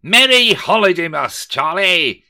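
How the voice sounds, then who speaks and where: A man voicing a pompous, scheming older male politician-miser who blusters and shouts with glee. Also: fairly high pitch, big pitch swings, moderate pace, voice pushed up. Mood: gleeful bluster.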